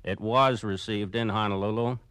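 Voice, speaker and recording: A man's voice: fairly low pitch, steady tone, normal speed, a bit bright, clear, resonant tone, no echo, studio quality. A man from Brooklyn answering as a guest in a 1940s radio interview, in formal, precise, prepared-sounding sentences. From Brooklyn, New York. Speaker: interview guest, former prisoner of war.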